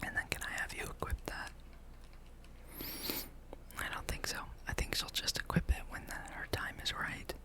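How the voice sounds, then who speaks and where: A gaming ASMR host in a breathy, unvoiced whisper, musing aloud in an unhurried ramble while playing.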